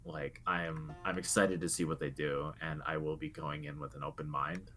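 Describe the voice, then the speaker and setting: A man's voice: a bass voice, flat delivery, moderate pace, bright, forceful tone, soft background music. A young adult man co-hosting a podcast, talking casually about video games in an earnest, reflective way. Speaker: podcast co-host. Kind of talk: casual video game discussion.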